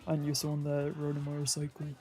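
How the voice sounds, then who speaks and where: Husky mid-range streamer voice, dramatic visual novel narration, casual asides, faint music behind. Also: mid-range voice, completely flat delivery, quick pace, a little mellow. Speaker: streamer reading a game aloud. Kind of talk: let's play narration with improvised character voices. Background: faint game music.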